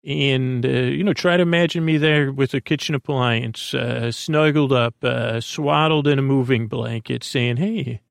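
Man, gravelly creaky voice, halting bedtime monologue, meandering, trails off, dry close mic. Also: mid-range voice, fairly even intonation, no echo. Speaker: host of a bedtime sleep podcast. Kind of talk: rambling, soothing monologue. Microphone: close podcast microphone, dry.

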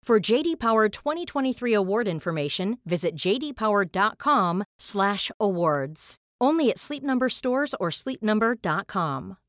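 A woman's voice: medium pitch, very animated, moderate pace, few pauses, a bit bright, no echo, clean studio sound. A woman reading scripted advertising copy as a commercial announcer.

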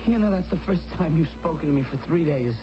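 A man's voice: fairly high pitch, lively intonation, a little fast, natural voice. An actor playing an anxious, pleading husband in a radio drama, insistent and stammering as he reassures. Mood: coaxing, reassuring. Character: anxious, pleading husband at his wife's bedside. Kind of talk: 1970s radio drama acting. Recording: dull, noisy old radio broadcast.

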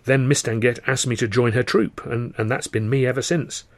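A man's voice: medium pitch, fairly even intonation, brisk, no echo, lightly acted. Man voicing a breezy, chatty young woman dancer. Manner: breezy, rambling, with tag questions. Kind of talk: acted audiobook dialogue.

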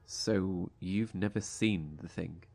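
A man's voice: low-pitched, takes it slowly, vocal fry, dark tone, full character voice. A man playing a fiery, fed-up survivor in a horror audio drama, blunt, confrontational and sarcastic. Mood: skeptical.

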